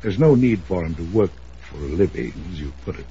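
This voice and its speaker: An American actor playing a wealthy, middle-aged, domineering and hot-tempered uncle in an old-time radio drama, pompous and blustering. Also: male voice, low-pitched, animated, brisk, mellow. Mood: guarded, blunt. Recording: dull, hissy old radio broadcast.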